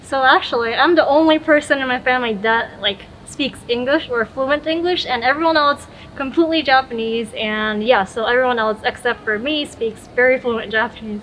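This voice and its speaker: A woman's voice: sits high, normal speed, hardly any pauses, a bit bright, slight background noise, rough recording. A young woman from Florida, a guest in a casual conversation, chatty and giggly, sometimes tripping over her words.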